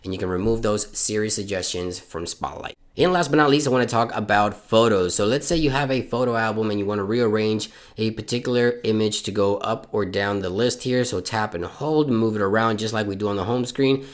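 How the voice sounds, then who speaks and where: A man's voice: fairly low pitch, fairly quick, hardly any pauses. Upbeat man from Puerto Rico, tech host. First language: Spanish. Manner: upbeat, instructional, step-by-step. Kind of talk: tech tips walkthrough.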